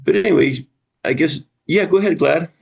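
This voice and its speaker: Man, mid pitch, gravelly, easygoing discussion leader on a video call. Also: fairly quick, a little mellow. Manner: friendly, chuckling, thinking aloud with restarts. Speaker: study group facilitator. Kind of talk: casual group discussion.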